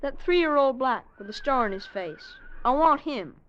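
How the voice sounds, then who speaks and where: A woman's voice: high-pitched, expressive, moderate pace. A woman playing a stubborn, earnest boy in a radio western, direct and insistent, with an American Western accent. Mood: determined. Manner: direct, insistent, plain-spoken. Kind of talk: old-time radio western acting. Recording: dull, faintly noisy vintage radio recording.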